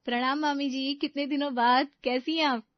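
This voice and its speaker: A woman acting a young daughter-in-law in a family story, with a fairly high voice. She is cheerful, deferential and eager to please, reassuring her elders. The recording is close and dry. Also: fairly even intonation, normal speed, no echo, dull audio, natural voice. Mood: delighted.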